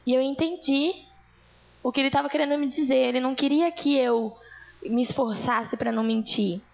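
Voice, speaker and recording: Gravelly woman giving testimony. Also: high-pitched, fairly even intonation, normal speed, highs cut off. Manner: earnest storytelling, addressing congregation. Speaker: church speaker. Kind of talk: testimony sermon. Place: church.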